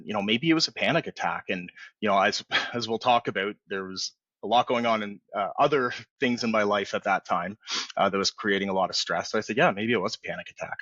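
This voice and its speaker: A man in his forties, a podcast guest telling his story in a relaxed, rambling way full of fillers and restarts. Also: lowish voice, no echo, dull audio. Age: 40s. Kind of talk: candid storytelling in a podcast interview.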